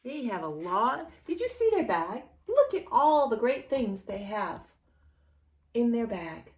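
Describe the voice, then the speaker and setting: A woman reading a picture story aloud to young children as a storyteller, playful and expressive, speaking directly to the child with questions and exaggerated reactions. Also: fairly high pitch, a little slow, slight background noise. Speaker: children's storyteller and channel host.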